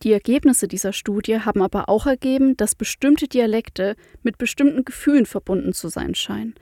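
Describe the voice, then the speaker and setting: A woman with a Franconian accent presenting the news and speaking in a clear, measured way, with a rolled R. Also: a little high, dark tone, no room sound, clean studio sound.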